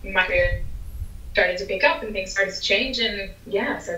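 A young woman from Philadelphia with a softened American accent, chatting upbeat as an interview guest. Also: mid-range voice, normal speed, slight background noise. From Philadelphia, USA. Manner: chatty and upbeat, gestures while talking. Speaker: singer-songwriter guest. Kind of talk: casual interview chat. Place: indoor room at home. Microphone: wireless earbuds on a video call. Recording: roomy, distant, dull video-call audio.

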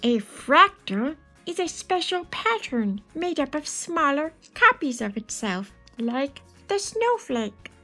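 A woman's voice: sits high, sing-song, slow, hardly any pauses, sharp tone, faint music behind, full character voice. A woman voicing a friendly, enthusiastic space alien who patiently explains math in a picture book read-aloud. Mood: upbeat, explaining.

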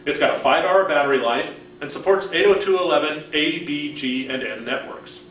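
A man's voice: on the high side, a little fast, fairly bright tone, echoey room, a little hiss or hum, rough recording. A man in his fifties with an American accent gives a scripted product demonstration in a friendly, instructional way. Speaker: product presenter.

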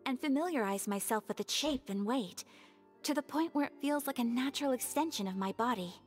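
A woman's voice: sits high, fairly quick, faint music behind, natural voice. An actress voicing a poised young noble swordswoman who speaks politely and formally.

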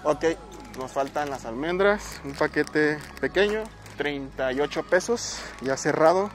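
A man's voice: fairly high pitch, unhurried, faint music behind. A man in his thirties with a Mexican Spanish accent, chatting casually in a vlog as he shops for food and counts out prices aloud. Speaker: bodybuilder vlogger. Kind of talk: casual vlog, showing his weekly grocery shopping. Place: supermarket aisles, car and shops.

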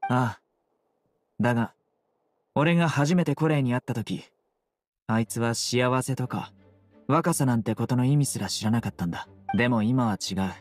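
A man voicing a stoic, proud young guardian in an anime game, terse, serious and deadpan. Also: mid-range voice, normal speed, long pauses, soft background music, natural voice. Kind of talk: Japanese anime game voice acting.

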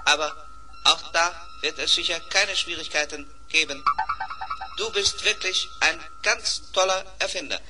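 A man playing a friendly little robot pilot in a children's radio play, calm and matter-of-fact in clipped phrases. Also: a little high, measured pace, distant mic, over music, natural voice. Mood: calm, confident. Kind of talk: 1970s German children's radio play acting.

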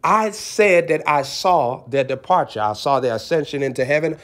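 Man, fairly high voice, prophetic preacher, impassioned exhortation, emphatic, repetitive short phrases. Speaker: preacher, self-described prophet.

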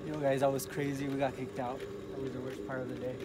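A young man chatting casually in an excitable, slangy way. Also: medium pitch, fast. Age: young adult. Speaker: vlogger's friend. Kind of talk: casual chat. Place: inside a car and outdoors at night. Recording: noisy handheld recording with car and outdoor noise.

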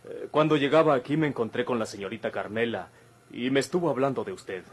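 A man's voice: mid-range voice, fast talker, husky, lightly acted. An actor playing an earnest, wary young Mexican man, formal and stern, in an old radio drama. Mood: reproachful. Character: earnest, wary younger man, respectfully scolding his outlaw elder. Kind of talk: golden-age Mexican radio drama acting. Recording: noisy vintage radio recording.

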